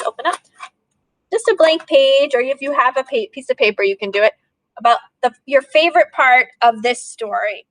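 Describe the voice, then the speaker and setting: A woman's voice: high-pitched, fairly bright tone, slight background noise, poor audio. A woman, an elementary teacher leading a read-aloud lesson for young children, asking questions and prompting as she goes.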